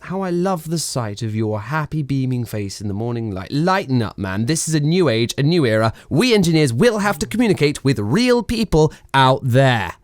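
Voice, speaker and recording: A man's voice: medium pitch, big pitch swings, normal speed, few pauses, no echo, studio quality, close to an everyday voice. A man acting an ebullient, irreverent English engineer in charge in a 1920s radio play, with theatrical, bantering old-chap phrasing. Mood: coaxing, earnest. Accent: British.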